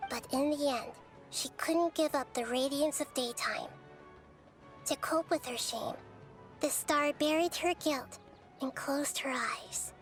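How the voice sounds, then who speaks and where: A woman voicing a small, wise, gentle girl deity in a video game, speaking in a measured, thoughtful way. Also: high-pitched, faint music behind. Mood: solemn storytelling.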